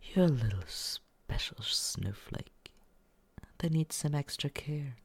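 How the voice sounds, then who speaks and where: A man playing a smug, condescending but secretly caring young roommate in a teasing ASMR roleplay, with breathy, husky whispering and murmuring. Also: fairly high pitch, animated, no echo, exaggerated voice. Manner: teasing, condescending, slow drawl.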